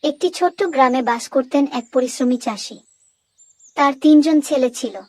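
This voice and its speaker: A woman narrating a moral story for children in a clear, didactic storytelling manner. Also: sits high, fairly even intonation, moderate pace, a little mellow, no room sound.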